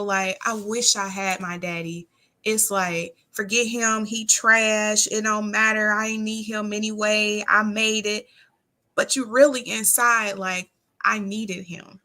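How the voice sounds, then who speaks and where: A woman's voice: mid-range voice, steady tone, unhurried, sharp tone. An American woman talking candidly and casually as a guest, with an earnest, plain-spoken manner. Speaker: interview guest.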